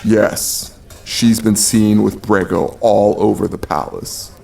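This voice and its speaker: A big, gruff guardian in a fantasy audio drama. The voice is lowish and full of creak, with blunt, commanding delivery. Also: male voice, fairly even intonation, slow pace, darkish tone, exaggerated voice. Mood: conspiratorial. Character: gruff, big, streetwise male protector of a younger man.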